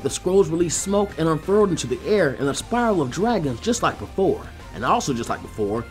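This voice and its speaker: Animated high-voiced man narrating a story. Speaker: fan fiction reader.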